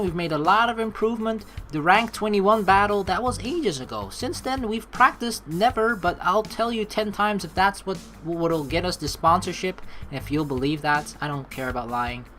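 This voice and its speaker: A woman's voice: mid-range voice, lively intonation, moderate pace, hardly any pauses, fairly bright tone, a little hiss or hum. A woman doing casual let's play commentary, dramatic and sweary, reading game text aloud and improvising replies. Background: game music.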